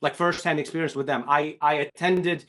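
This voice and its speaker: A man's voice: fairly high pitch, steady tone, normal speed, a bit bright. A middle-aged man from Syria, a film director giving a candid, emphatic interview with many restarts. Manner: emphatic, repetitive restarts, swears. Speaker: animation director, podcast guest.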